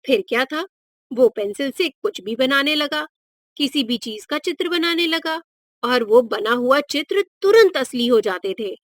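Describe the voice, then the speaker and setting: A woman's voice: high voice, lively intonation, measured pace, no room sound, clean studio sound. A woman narrating a scripted moral story for children as a storyteller.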